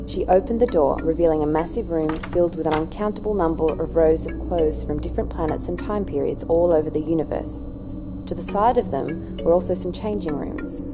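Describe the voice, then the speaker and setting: A woman's voice: sits low, fairly quick. A woman narrator reading scripted story narration for an audio drama. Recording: music underneath.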